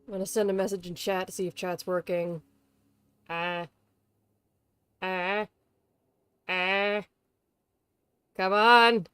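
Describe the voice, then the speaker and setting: A woman's voice: medium pitch, fairly even intonation, slow, long pauses, a bit bright, smooth, no echo. A woman livestreamer chatting casually to viewers in a playful, chatty way. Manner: playful, chatty, talks to pets. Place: bedroom at home.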